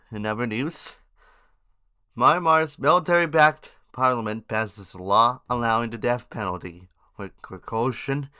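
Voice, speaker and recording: A man with a bright, forceful voice at a middling pitch hosts a homemade news podcast. He reads headlines haltingly, trips over words and names, and slips in informal asides. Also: smooth.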